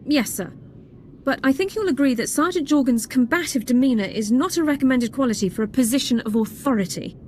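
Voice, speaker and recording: A woman's voice: high-pitched, lively intonation, fairly quick, slight background noise. A woman playing a prim, by-the-book army sergeant in a video game, speaking formally and precisely. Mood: composed, earnest. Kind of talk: scripted video game acting.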